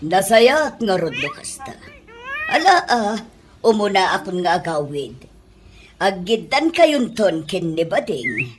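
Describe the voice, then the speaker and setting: A woman's voice: mid-range voice, very animated, measured pace, background noise. A woman with an Ilocano accent playing an older auntie who runs a restaurant, stern but kindly, in a comedy radio drama. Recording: music bed under radio drama dialogue.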